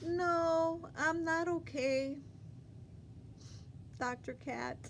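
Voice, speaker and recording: Dramatic, emphatic storybook elephant played by a woman reader, high pitch, faint noise behind. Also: extremely slow, heavily acted. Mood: distressed. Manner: emphatic, short exclamations. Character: excitable, dramatic, sneezy male cartoon elephant.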